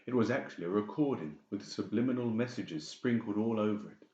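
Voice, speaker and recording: Low-voiced male narrator, echoey room. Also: fairly quick, warm tone. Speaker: audiobook narrator. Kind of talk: dramatic scripted narration. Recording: dull, echoey room recording.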